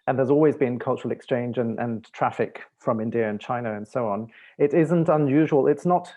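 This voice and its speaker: A man who grew up in Malaysia, a dancer and choreographer speaking as a panel guest, thoughtful and halting with many restarts. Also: medium pitch, dark tone. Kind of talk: panel discussion.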